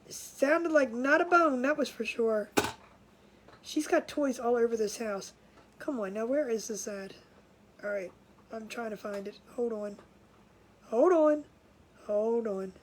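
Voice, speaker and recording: A woman's voice: a little high, a little slow, pauses often, a little hiss or hum, poor audio. A woman hosting a casual craft livestream from home, sounding nasal and congested, chatty and scattered, with frequent laughs and restarts.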